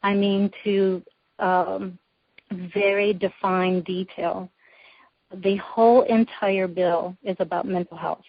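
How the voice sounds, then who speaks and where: A woman's voice: mid-range voice, flat delivery, takes it slowly, dry recording. A woman guest on a phone conference call, explaining policy in an emphatic, methodical way. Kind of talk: explanatory political talk. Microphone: telephone. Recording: dull lo-fi phone conference line.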